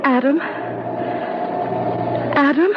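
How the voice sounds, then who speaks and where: An actress playing a frightened, urgent young wife in an old-time radio mystery drama. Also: female voice, high-pitched, very slow. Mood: anxious, urgent. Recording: dull, noisy vintage radio broadcast.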